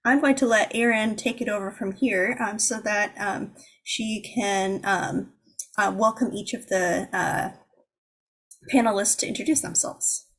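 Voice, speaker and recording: A woman giving a webinar presentation, walking through slides with a plain, mid-pitched voice. She hesitates constantly, filling gaps with "um" as she lays out the facts. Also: fairly even intonation, fairly bright tone. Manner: halting, lots of ums, informative. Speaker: presenter for the organizing fund.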